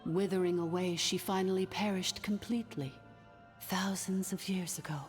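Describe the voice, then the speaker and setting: Game role: ancient artificial intelligence sovereign, acted by a woman, formal and solemn, lower female register, cavernous echo. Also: fairly even intonation, close to an everyday voice. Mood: grave. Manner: formal, measured, grave exposition. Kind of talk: video game voice acting. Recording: long cavernous reverb, music underneath.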